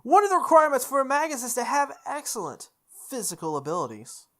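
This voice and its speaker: A woman playing through a visual novel, reading its text aloud with expressive, goofy casual commentary, sometimes correcting her stumbles. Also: high-pitched, quick pace. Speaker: host of a visual novel playthrough.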